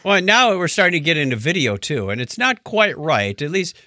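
A male podcast co-host with a lively, swooping delivery and a bright, forward voice. He chats casually, in a wry and joking way, with a touch of disbelief. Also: a little high, a little fast, dry recording, clean studio sound.

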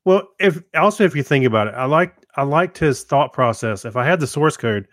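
A middle-aged man co-hosting a podcast, talking through tech topics in a halting, loosely phrased way. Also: mid-range voice, lively intonation, a bit bright, dry recording, studio quality. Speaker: podcast co-host. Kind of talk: casual tech chat.

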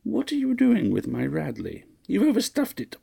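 A man voicing a shrill, fussy, wealthy old woman who nags imperiously in a grating voice. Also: wildly swooping pitch, moderate pace, creaky, mellow, slightly rough, exaggerated voice. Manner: imperious, nagging.

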